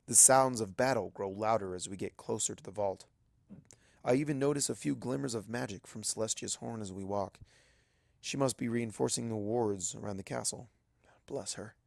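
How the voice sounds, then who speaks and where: A man's voice: on the low side, creaky, darkish tone, dry recording, studio quality. A man reading a first-person story aloud as dramatic scripted narration. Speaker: audiobook narrator of fan fiction.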